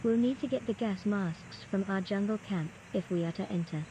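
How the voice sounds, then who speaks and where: A woman's voice: mid-range voice, moderate pace, mellow, lightly acted. Woman acting an earnest archaeologist over noisy game ambience. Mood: uneasy, reporting. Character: earnest, composed female archaeologist leading a jungle expedition. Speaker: game character recording audio logs. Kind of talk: scripted audio-log narration, game voice acting.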